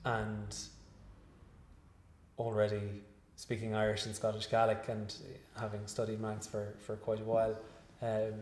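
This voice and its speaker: A man's voice: fairly low pitch, monotone, darkish tone. Hesitant man in an echoey room. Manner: measured, hesitant, many fillers and restarts. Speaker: guest researcher. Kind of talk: interview after a lecture.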